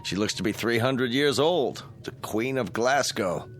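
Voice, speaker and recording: An actor in a radio drama playing a jovial, pushy ship passenger with a joking, insistent manner. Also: male voice, medium pitch, animated, measured pace, a bit bright, a little hiss or hum. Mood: wisecracking.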